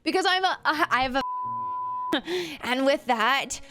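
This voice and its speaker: High-pitched young woman, giggly and chatty, faint music behind. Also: lively intonation, unhurried, sharp tone. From Canada. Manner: chatty, giggly, swears casually. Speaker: streamer. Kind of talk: casual livestream voice lesson.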